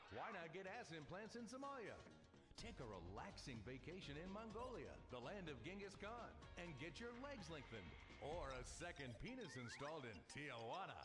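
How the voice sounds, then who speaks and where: A man's voice: on the high side, big pitch swings, moderate pace. A man playing an upbeat, slick reality-show announcer in a parody broadcast promo. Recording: music bed behind the voice.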